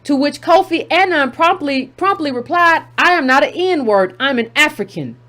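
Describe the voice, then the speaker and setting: Emphatic woman reading aloud, fairly high voice. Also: lively intonation, normal speed, a bit bright. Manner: emphatic, repeats key phrases for effect. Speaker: commentary channel host. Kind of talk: reading a text aloud with running commentary.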